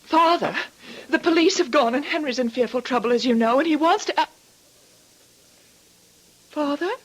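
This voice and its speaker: A woman's voice: high-pitched, normal speed, lightly acted. An actress playing a genteel, anxious young Victorian woman in an old-time radio drama, with a polite, earnest, hesitant manner. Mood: alarmed.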